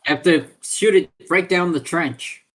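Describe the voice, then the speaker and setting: A man's voice: a little high, measured pace, a bit bright. Rambling man chatting at a game table. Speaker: player in a tabletop roleplaying game. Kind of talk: casual table chat.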